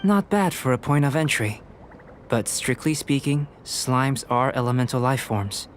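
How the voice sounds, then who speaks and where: Calm, scholarly alchemist, acted by a man, mid-pitched. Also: slight background noise, lightly acted. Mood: analytical. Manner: measured, precise, composed. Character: calm, scholarly young male alchemist, detached and self-assured. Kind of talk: video game character acting.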